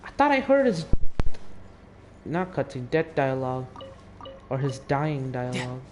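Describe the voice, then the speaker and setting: A man's voice: mid-range voice, big pitch swings, measured pace. A teenage boy giving casual, muttering, sweary commentary while playing a video game. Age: teens. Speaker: gamer commenting on gameplay. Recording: noisy home gaming recording.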